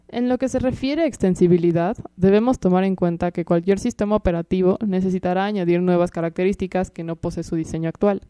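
A young woman as guest expert, giving a measured, lecture-like scripted explanation that lists points one by one. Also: fairly low pitch, dark tone, clean tone, no room sound.

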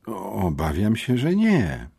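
A man voicing a dignified woman headmistress in an audiobook, measured, hesitant and incredulous. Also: on the low side, wildly swooping pitch, vocal fry, mellow, slightly rough, pitched above the performer's own voice, full character voice. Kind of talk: audiobook character reading.

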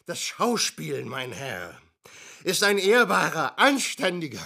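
A man's voice: a little high, very animated, measured pace, vocal fry, bright, forceful tone, husky, full character voice. A man playing a drunken, bitter, grandiose stage actor who declaims pompously in a German radio play. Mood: indignant, pompous.

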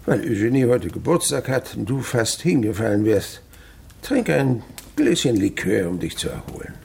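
An actor playing a gruff, miserly old master of the house in a radio drama, grumbling and condescending. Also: male voice, mid-range voice, sing-song, moderate pace, darkish tone, slight background noise.

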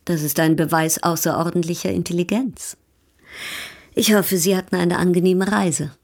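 A woman's voice: lowish voice, steady tone, moderate pace, gravelly. A woman playing a coquettish, ironic baroness in a German radio drama, poised and teasing.

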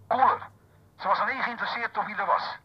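A man playing a careful, obliging hotel receptionist in a radio drama, creaky and husky, speaking formally through a telephone filter. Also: sits high. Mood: careful, hesitant. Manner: precise, measured, formal. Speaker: actor in a detective radio play. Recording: dull, muffled telephone line.

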